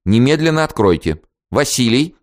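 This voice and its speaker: A man's voice: sing-song, moderate pace, no room sound, studio quality, lightly acted. A man voicing an imperious, dramatic aristocratic woman who gives orders in a raised, theatrical voice. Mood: commanding. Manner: commanding, theatrical, shrill.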